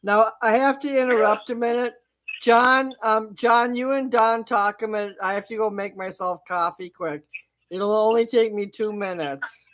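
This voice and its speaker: A woman hosting a casual call-in talk show, losing her voice, speaking firmly and with clear opinions. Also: fairly high pitch, fairly even intonation, moderate pace. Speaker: host. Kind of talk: casual phone-in chat.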